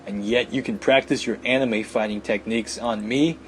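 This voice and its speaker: A man voicing a sarcastic, exasperated high school older brother in a visual novel, grumbling and protesting. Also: fairly even intonation, moderate pace, a little hiss or hum, natural voice.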